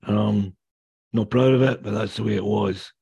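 Raspy, gravelly older man. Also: on the low side, fairly even intonation, mellow, highs cut off. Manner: plain, halting storytelling with many ums and restarts. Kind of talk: personal recovery testimony.

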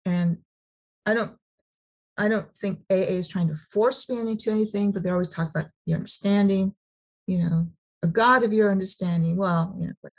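A middle-aged woman from California sharing her personal story at a recovery meeting, halting, with many restarts and repeated words. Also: medium pitch, fairly even intonation. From Sacramento, California.

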